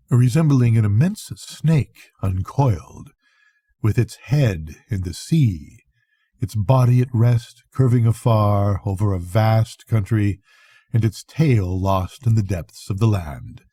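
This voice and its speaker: Dark, husky older man reading a story. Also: on the low side, no room sound, clean studio sound. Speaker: grandfather reading bedtime stories. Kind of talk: expressive literary audiobook reading.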